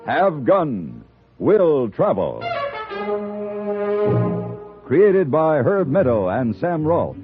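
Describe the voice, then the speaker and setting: A man's voice: on the high side, huge pitch swings, very slow, long pauses. An American man announcing from a script in a polished, emphatic 1950s radio style. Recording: tinny old radio broadcast with music behind.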